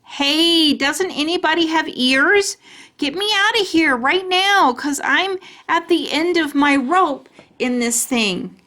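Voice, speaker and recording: A woman voicing an excitable, sassy little kindergarten girl from a storybook, with childish, emphatic kid talk. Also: high-pitched, slow, voice pushed up, full character voice. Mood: exasperated. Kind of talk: children's storybook character reading.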